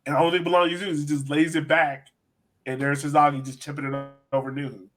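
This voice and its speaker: A man with a slightly high-pitched voice hosts a sports podcast. He talks through soccer games casually, giving his opinions in a conversational way. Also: little pitch movement, fast talker, soft background music. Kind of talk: casual soccer match analysis.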